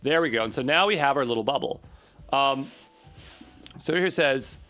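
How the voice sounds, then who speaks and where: Guy doing a casual coding screen share, average pitch, kinda mumbling to himself as he works. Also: lively intonation, normal speed, fairly bright tone, soft background music. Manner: informal, muttering to himself while working. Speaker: coding tutorial presenter.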